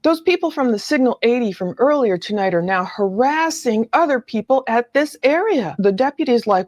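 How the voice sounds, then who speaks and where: A woman's voice: high voice, darkish tone, no echo, studio quality. A woman giving casual analytical true-crime commentary, explaining as she goes and careful to qualify what she says.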